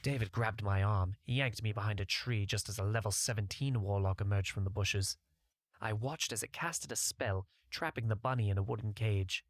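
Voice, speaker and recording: A man's voice: fairly low pitch, normal speed, no room sound. A man narrating a scripted first-person fantasy short story as an audiobook reader.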